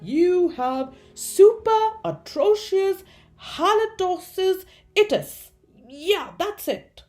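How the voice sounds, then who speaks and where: A storybook sea-creature dentist, played by a woman, male, cowardly and full of bluster. He shrieks and stammers dramatically, swinging wildly in pitch over background music. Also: very animated, very slow, a little mellow, heavily acted. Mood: nervous bluster.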